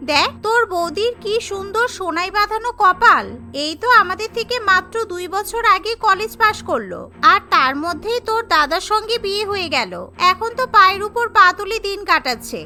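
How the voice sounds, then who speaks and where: A woman's voice: very high-pitched, bright, forceful tone, heavily acted. A woman voicing an envious, gossipy young college friend in a cartoon, teasing and needling. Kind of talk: animated family story dialogue.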